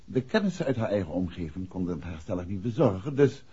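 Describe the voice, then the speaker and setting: A shrewd gentleman detective, with a very husky voice that rises and falls widely. He is deliberate and insistent, in an old radio play with muffled sound. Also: low-pitched, big pitch swings, exaggerated voice. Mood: probing, insistent. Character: shrewd, assured gentleman detective pressing his point. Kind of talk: 1960s Dutch radio play acting. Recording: dull, muffled vintage radio recording.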